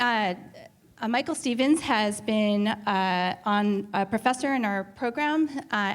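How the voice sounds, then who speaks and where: Woman director giving a hesitant introduction. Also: a little high. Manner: hesitant, many filler sounds. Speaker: school director introducing the speaker. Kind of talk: formal introduction.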